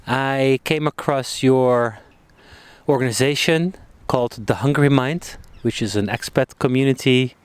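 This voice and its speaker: A man hosting a podcast interview on an outdoor bench, friendly and hesitant, with many pauses and fillers. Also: medium pitch, clean tone, no echo. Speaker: podcast host. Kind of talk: casual interview.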